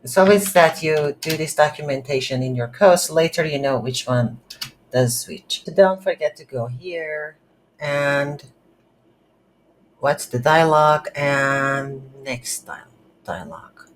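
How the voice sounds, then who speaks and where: A non-native English speaker teaches coding in a slow, halting way, thinking aloud step by step. The pitch is medium, and there is faint noise with keyboard typing behind the voice. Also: expressive. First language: not English. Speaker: programming tutorial instructor. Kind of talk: screen-recorded coding tutorial, unscripted explanation. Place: indoors at a computer.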